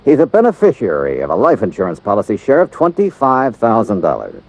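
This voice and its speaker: An actor playing a wisecracking freelance insurance investigator, narrating in a dry, wry first-person voice in a 1950s radio drama. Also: male voice, on the low side, huge pitch swings, a little fast, heavily acted. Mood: probing. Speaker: narrator and lead detective. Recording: dull lo-fi old radio recording with music.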